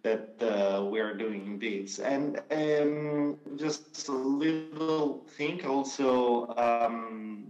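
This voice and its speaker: A man's voice: mid-range voice, drawn-out words, hardly any pauses, a little mellow, dull audio, faint music behind. A man with an Italian accent giving hesitant remarks at an event, full of "you know" and restarts. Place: event room. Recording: roomy echo.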